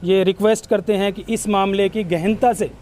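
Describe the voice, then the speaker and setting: A man's voice: sits high, steady tone, normal speed, a little mellow. A man giving an earnest, explanatory account in a news interview. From Haryana, India. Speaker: villager interviewed by local news. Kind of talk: on-camera news interview testimony.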